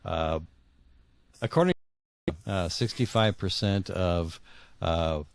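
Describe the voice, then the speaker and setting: A man speaking as an interview guest, earnest and opinionated, in halting conversational talk that repeats words. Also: low-pitched, gravelly, dry recording.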